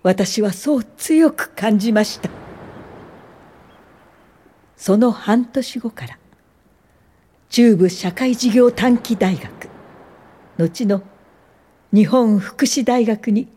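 A woman's voice: on the high side, warm tone, breathy edge, exaggerated voice. An actress in a radio drama playing an earnest, principled woman educator and social worker, speaking politely and thoughtfully. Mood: reflective. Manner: polite, thoughtful, measured delivery. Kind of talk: radio drama dialogue and reflective first-person narration.

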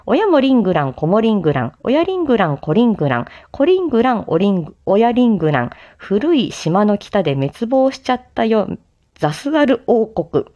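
A woman reciting a theatrical Japanese-style sales-pitch monologue in a declamatory, sing-song way with playful touches. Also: very animated, fairly quick, dark tone. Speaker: amateur voice drama performer, streamer. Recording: slightly dull lo-fi microphone sound.

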